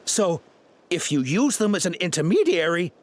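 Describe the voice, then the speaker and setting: A man's voice: high-pitched, big pitch swings, moderate pace, darkish tone, a little hiss or hum. A man voicing a nervous, cowardly, eager-to-please henchman in a video game, hesitant and stammering. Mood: nervous, explaining.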